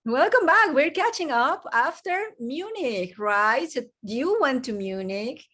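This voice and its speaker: A woman's voice: high voice, animated, a little slow, fairly bright tone. A woman with a non-native accent hosting a casual video chat, laughing easily. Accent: foreign-accented English. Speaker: host.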